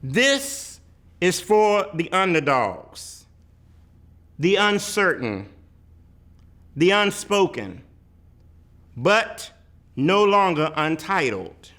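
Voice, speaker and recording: Male reader for a poetic picture book: higher-pitched voice, highly animated intonation, emphatic delivery with weighty pauses. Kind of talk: dramatic poetry read-aloud.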